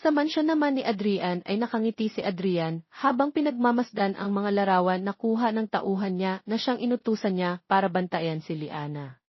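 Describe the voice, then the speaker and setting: A woman's voice: mid-range voice, expressive, normal speed, no echo, lo-fi sound. A woman with a Filipino accent reading a scripted romance story as its narrator. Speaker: narrator of audio stories.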